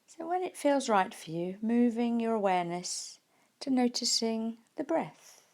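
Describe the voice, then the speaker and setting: Woman guiding meditation, slightly creaky voice. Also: a little high, expressive. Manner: gentle, unhurried, with soft pauses. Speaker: meditation teacher. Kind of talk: guided mindfulness meditation.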